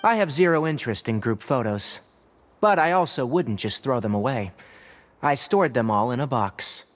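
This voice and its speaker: An actor playing a dry, sardonic but principled forest watcher and scholar in a video game, speaking precisely. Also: male voice, medium pitch, animated, normal speed, lightly acted. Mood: measured, wry. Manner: precise, wry, scholarly delivery. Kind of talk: scripted video game voice acting.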